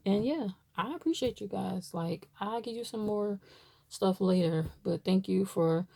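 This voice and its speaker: A woman's voice: mid-range voice, a little slow, creaky, dark tone. A woman from Baltimore chatting casually to camera, rambling with many fillers as she shows items. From Baltimore, USA. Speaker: tarot deck reviewer.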